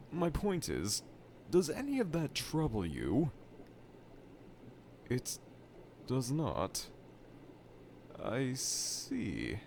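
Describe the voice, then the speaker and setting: A man's voice: mid-range voice, a little mellow, exaggerated voice. A man playing a dejected, pompous evil scientist villain from a cartoonish game, delivering a theatrical, self-pitying roleplay monologue to the listener. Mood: boastful. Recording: clear background laboratory ambience noise.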